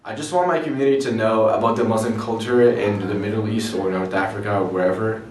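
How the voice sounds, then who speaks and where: A teenage boy from Morocco, a high school student who explains carefully with examples. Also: steady tone, fast, darkish tone, echoey room, recorded from a distance, slight background noise.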